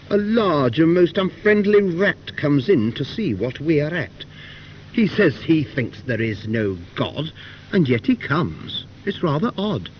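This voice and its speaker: Older guy doing a fussy pious church mouse, indignant rhymes, swoopy pitch, muffled tape. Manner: prim, indignant, measured verse delivery. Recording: dull, faintly noisy cassette recording.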